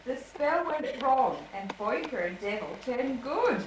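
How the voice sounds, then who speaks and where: A woman's voice: high voice, expressive, unhurried. A woman narrating a home-made puppet show in amateur storybook style. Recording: distant, echoey, noisy home video.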